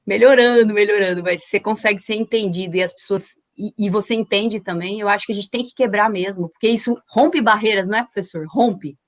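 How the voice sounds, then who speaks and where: A woman joins a webinar over a video call and speaks in an encouraging tone, often repeating her words. Her voice sits at a middle pitch and sounds dry, with little room in it. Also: a little fast, hardly any pauses, no room sound. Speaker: webinar participant. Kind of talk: conversational remarks.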